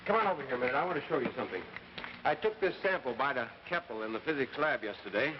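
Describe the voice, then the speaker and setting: A man's voice: medium pitch, lively intonation, rapid-fire, lightly acted. Middle-aged American doctor, male actor, genial fatherly type, muffled and hissy 1950s radio drama. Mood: businesslike. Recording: muffled, noisy old radio recording.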